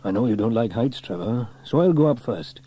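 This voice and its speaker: A brave, cheerful fireman hero, as a male storyteller plays him for children. His voice is lively and swooping with a slightly rough grain, always reassuring and upbeat. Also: medium pitch, brisk.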